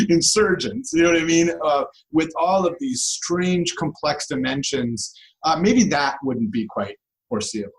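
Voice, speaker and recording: A man's voice: a little high, poor audio. A man speaking as an expert guest, explaining things step by step in a conversational, discursive way and checking in with the listener. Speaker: guest law professor.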